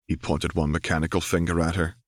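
A man's voice: low voice, level tone, a little fast, no room sound, studio quality. A man reading scripted fiction aloud as an audiobook narrator.

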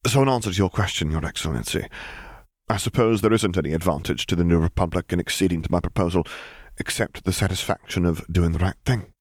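A man voicing an earnest, courteous male admiral who speaks in a formal, measured way, in an audiobook. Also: low-pitched, dry recording, studio quality. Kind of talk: audiobook character voice.

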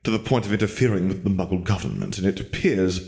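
A man's voice: low voice, very animated, fast, creaky, a little mellow, full character voice. A man voicing a stern, disdainful wizard mentor, lecturing in a dry, precise way for a story reading.

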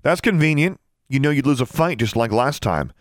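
A man voicing a defiant, hot-tempered young witch girl in a storybook, with a sharp, challenging manner. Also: mid-range voice, expressive, normal speed, no room sound, clean studio sound, pitched above the performer's own voice, close to an everyday voice.

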